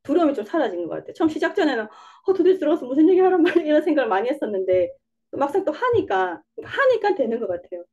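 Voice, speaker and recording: A woman's voice: sits high, big pitch swings, very fast, darkish tone, highs cut off. A young woman with a Busan dialect, answering interview questions casually, rambling a little and laughing often. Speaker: interview guest, coaching student.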